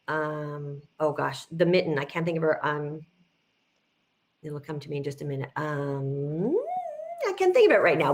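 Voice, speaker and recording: Lower-pitched middle-aged woman, explanatory. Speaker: guest speaker, kindergarten teacher. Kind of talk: informal educational talk, answering questions.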